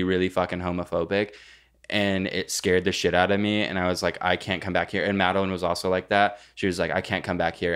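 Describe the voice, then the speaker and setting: Deep-voiced young man, joking around. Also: fairly bright tone, clean tone. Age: young adult. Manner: jokey, profane, hyperbolic. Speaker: podcast co-host. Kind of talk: casual podcast chat.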